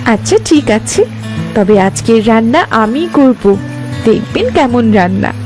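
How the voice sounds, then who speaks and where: Woman voicing a high-voiced, gentle fairy princess. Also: expressive, measured pace, over music. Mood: polite, composed. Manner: polite, formal, earnest. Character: gentle, dignified young fairy princess, grateful and sincere. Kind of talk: animated fairy-tale dialogue.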